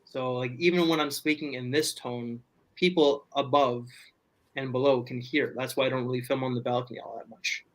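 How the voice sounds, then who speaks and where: A man talking loudly from his apartment as a guest on a casual video call, rambling with many restarts. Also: mid-range voice, fairly even intonation, normal speed, low-quality recording. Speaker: podcast guest. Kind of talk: casual livestream chat. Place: home apartment.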